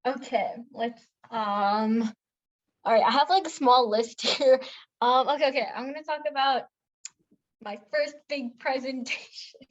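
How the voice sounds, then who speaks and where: A woman's voice: high-pitched, measured pace, lo-fi sound. A young woman from India telling a personal story in a casual chat, with lots of filler words and restarts. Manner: chatty storytelling with many fillers and self-corrections. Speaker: podcast co-host.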